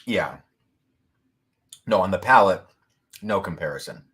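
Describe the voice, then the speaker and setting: A man hosting a whiskey-tasting livestream, talking loudly and excitedly, laughing often and reading viewer comments aloud into a boom mic. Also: unhurried, breathy edge. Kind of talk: casual live-stream chat. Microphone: boom mic moved back from mouth.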